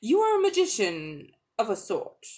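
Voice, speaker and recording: A woman's voice: on the high side, wildly swooping pitch, moderate pace, a little mellow. Woman playing an imperious witch queen, sweeping and scornful. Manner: haughty, commanding, contemptuous. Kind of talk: dramatic storybook reading.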